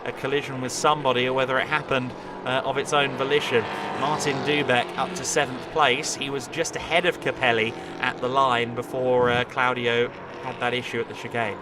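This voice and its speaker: A man giving calm, fluent live play-by-play commentary on a stock car race. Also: medium pitch, a little fast. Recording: loud race car noise behind. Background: racing engines.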